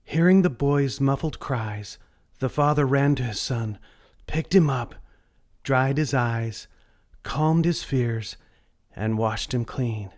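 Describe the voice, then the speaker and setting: Slow-paced man reading a children's story. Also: mid-range voice, darkish tone. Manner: slow, deliberate read-aloud delivery. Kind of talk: scripted children's story narration.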